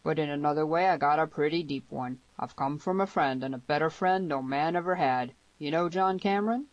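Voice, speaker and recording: A woman voicing a huge, rough, loud frontier brawler in an audiobook Western, fierce but fair, blustering and emphatic. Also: sits low, fairly quick, no room sound, dull audio, close to an everyday voice. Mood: grave, firm. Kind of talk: dramatised audiobook dialogue in Western dialect.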